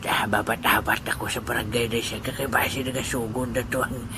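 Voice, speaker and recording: A man's voice: medium pitch, flat delivery, a little fast, lightly acted. A man voicing a good-natured old grandfather, joking and laughing with his friend and muttering asides to himself in an amateur audio drama. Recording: noisy amateur recording.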